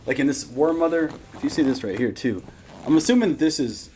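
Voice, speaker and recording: A man's voice: mid-range voice, lively intonation, brisk, a little mellow, a little hiss or hum, rough recording. A man hosting a casual reaction discussion, thinking aloud in a rambling, informal, sweary way. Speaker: reaction show host.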